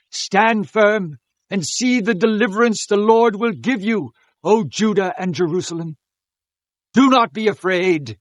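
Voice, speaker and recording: An impassioned Old Testament prophet in an audio Bible drama. His voice is high for a man and commanding, declaiming each message with fervor. The sound is close and very dry. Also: no echo. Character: fervent male Levite prophet proclaiming God's message to a king.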